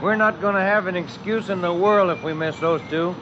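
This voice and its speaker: Man playing a plainspoken american detective on old 1950s radio, lightish pitch, lots of noise. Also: a little fast, close to an everyday voice. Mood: casual. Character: workaday male police detective, the partner, plainspoken and alert. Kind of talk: 1950s radio drama acting. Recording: muffled, very noisy old radio recording.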